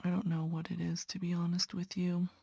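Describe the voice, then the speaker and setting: A woman's voice: on the low side, flat delivery, fairly quick, gravelly, dark tone, slightly rough, no room sound. A young woman, a patient care tech and nursing student, chatting earnestly to viewers while stitching, sounding congested. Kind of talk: casual chat while stitching.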